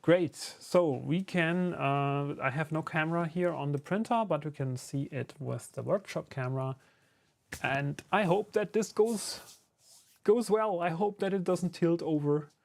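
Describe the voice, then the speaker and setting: A German man, a native German speaker talking in English with a German accent, chatting casually on a live stream while he builds and answers his chat. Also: fairly high pitch. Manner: relaxed and conversational, many fillers, answers chat. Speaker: streamer and YouTuber building a 3D printed enclosure.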